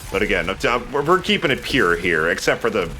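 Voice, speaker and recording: A man's voice: lowish voice, big pitch swings, fairly quick, bright, forceful tone, slight background noise. A man hosting a video game let's play, chatting casually in a jokey, rambling, profane way. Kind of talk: casual gameplay commentary with co-commentary banter.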